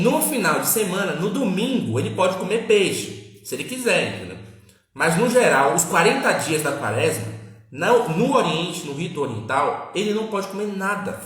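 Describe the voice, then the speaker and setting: Guy hosting a church livestream from home, kinda high voice, super echoey room, chatty and keeps checking in with the viewers. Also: very animated, moderate pace, a bit bright. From Salvador, Bahia, Brazil. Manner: chatty, repeats tag questions, emphatic. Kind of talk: casual teaching chat with viewers.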